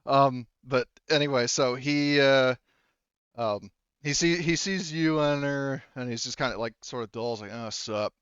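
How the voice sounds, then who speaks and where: A man improvising tabletop game narration as game master in an informal, halting, sweary style. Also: mid-range voice, fairly bright tone, clear, resonant tone, no echo, highs cut off.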